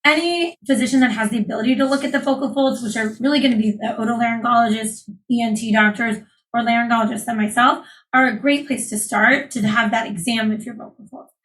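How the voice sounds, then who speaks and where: A woman surgeon, calmly explaining voice care as an interview guest. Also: on the high side, fast talker, poor audio. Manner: clear, explanatory, calm. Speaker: laryngologist guest. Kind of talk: interview, explaining medical topics.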